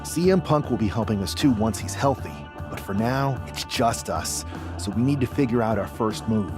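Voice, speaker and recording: Determined male wrestler for a game story scene, played by an actor: emphatic, widely varied pitch, music bed. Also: expressive, moderate pace, close to an everyday voice. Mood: resolute. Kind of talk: video game cutscene acting.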